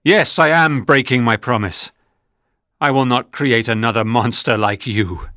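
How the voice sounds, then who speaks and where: A man's voice: lowish voice, normal speed, a bit bright, dry recording, studio quality, close to an everyday voice. A man playing an anguished young scientist. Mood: defiant. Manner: anxious, crying out. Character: anguished young male scientist, guilt-ridden.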